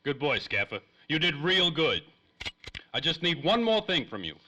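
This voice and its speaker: A man's voice: fairly high pitch, big pitch swings, normal speed, bright, forceful tone, full character voice, low-quality recording. An actor playing a tough, menacing former private detective in a 1930s-style radio crime drama, talking in clipped, slangy tough-guy lines. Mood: commanding.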